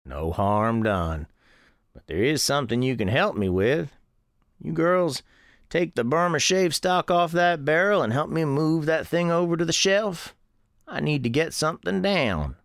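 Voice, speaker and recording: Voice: mid-range voice, lively intonation, a little slow, no echo, studio quality, pitched above the performer's own voice. A performer playing a kindly, puzzled old Southern storekeeper man with a folksy, easygoing manner in a radio story.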